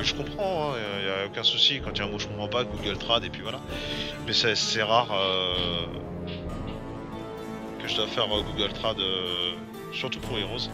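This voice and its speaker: A man chatting casually as he streams a game, hesitant and full of fillers and restarts. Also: fairly low pitch. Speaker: gaming streamer. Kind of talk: casual gameplay commentary. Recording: loud game music behind the voice.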